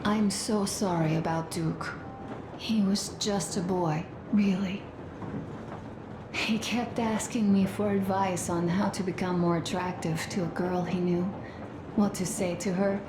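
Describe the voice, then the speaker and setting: An actress playing a tender young soldier's wife in a video game, confiding gently and sorrowfully. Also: female voice, fairly low pitch, steady tone, a little slow, close to an everyday voice. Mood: grieving. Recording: echoey room, noisy ambience.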